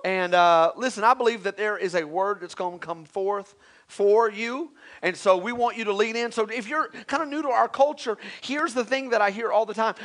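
A male pastor introducing a guest preacher, energetic and rallying the congregation. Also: sits high. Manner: energetic, hypes the crowd.

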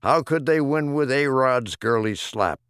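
A man's voice: mid-range voice, animated, measured pace, fairly bright tone, clean tone, dry recording, clean studio sound. A man reading humorous rhyming poems as an audiobook narrator, with a theatrical, rhythmic delivery. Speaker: audiobook reader.

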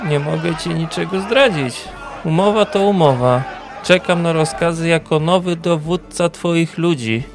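A man playing a sly, commanding tavern gambling hustler in a fantasy audio drama, with a gravelly voice and sing-song, curt delivery. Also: a little high, a little slow. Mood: composed, firm. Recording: noisy tavern crowd ambience.

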